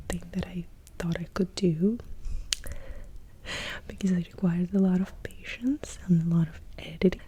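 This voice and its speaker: A young adult woman from Russia, speaking in a hushed, breathy half-whisper as she answers viewer questions in a soft-spoken ASMR video, very close to the mic. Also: mid-range voice, a little hiss or hum. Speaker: ASMR creator. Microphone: close sensitive ASMR mic.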